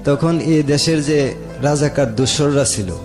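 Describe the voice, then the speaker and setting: A man's voice: fairly high pitch, measured pace. A man in his late thirties with a Sylheti-region Bangladeshi accent, telling his own story plainly and respectfully as a radio guest. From rural Moulvibazar, Bangladesh. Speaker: interview guest. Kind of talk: personal storytelling in conversation. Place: radio studio. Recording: music playing behind the voice.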